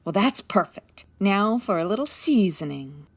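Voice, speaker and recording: An actress playing a brisk, confident female private detective in a comic 1940s-style radio drama, commanding and take-charge. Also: medium pitch, very animated. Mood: confident scheming.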